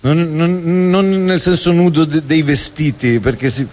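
A man performing a theatrical spoken monologue on stage, with emphatic, rhetorical delivery and deliberate repetition. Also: fairly high pitch, fairly even intonation, darkish tone. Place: live stage in a reverberant venue. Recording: reverberant hall, live stage sound.

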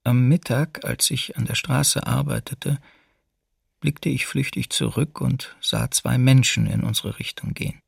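An actor playing a former camp prisoner, recounting the ordeal in a restrained, deliberate way for a documentary radio drama. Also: male voice, medium pitch, fairly even intonation, gravelly, husky, dry recording, exaggerated voice. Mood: restrained, pained. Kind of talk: documentary radio drama, first-person testimony.

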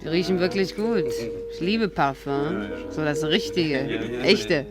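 An actress playing a cheeky, quick-tongued young barmaid with brassy, teasing banter in a 1950s radio play. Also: female voice, lowish voice, close to an everyday voice. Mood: cool, sarcastic. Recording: old radio drama with prominent music.

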